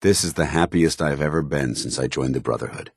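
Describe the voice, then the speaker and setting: An actor playing a stern, disciplined armored soldier in a video game, speaking in formal, clipped military phrases. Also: male voice, extremely low pitch, fairly quick, breathy edge, dry recording, clean studio sound, heavily acted. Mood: earnest. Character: stern, dutiful armored soldier paladin, grown man, devout and disciplined. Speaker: companion character. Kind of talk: scripted video game dialogue.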